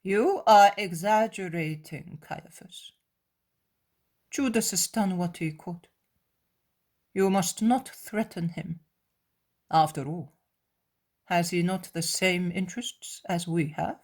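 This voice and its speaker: A woman voicing a calm, scheming male elder who speaks in an ice-cold, measured way. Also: fairly low pitch.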